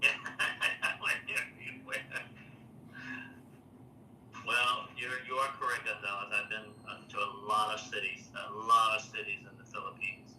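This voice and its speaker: Older guy from taiwan chatting on a live stream, very bright tone, echoey room, mic kinda far off. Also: fairly low pitch, flat delivery, a little slow. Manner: rambling, many fillers and restarts. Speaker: livestream host. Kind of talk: casual livestream chat. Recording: roomy, distant mic, faint noise.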